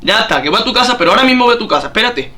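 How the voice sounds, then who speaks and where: A man's voice: high voice, animated, very fast, bright, forceful tone. A man with an accent from Spain making a prank phone call, insistent and often repeating himself. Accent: Spanish (Spain). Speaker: prank caller. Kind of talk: prank phone call, pretending to be a cheated buyer.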